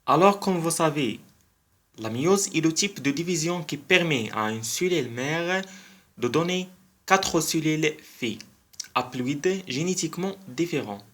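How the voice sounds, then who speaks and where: A man's voice: on the high side, lively intonation, measured pace, a bit bright. A man who is not a native French speaker hosts a biology channel and explains a topic in an educational style, carefully repeating key phrases for emphasis.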